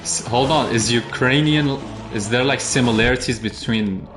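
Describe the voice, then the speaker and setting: A man's voice: mid-range voice, moderate pace, over music. A young man from Algeria streaming a game, chatting casually and answering viewers' chat questions.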